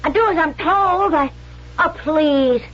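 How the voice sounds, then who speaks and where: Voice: sits high, takes it slowly. A performer playing an earnest, pleading twelve-year-old orphan cabin boy in a radio drama, hesitant, eager and folksy. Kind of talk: 1970s radio drama acting. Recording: muffled vintage radio recording with hiss.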